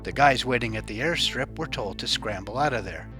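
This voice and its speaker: A man narrating a scripted true-crime news report as its host, in a wry, colloquial storytelling manner with dramatic pauses. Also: mid-range voice, animated, music in the background.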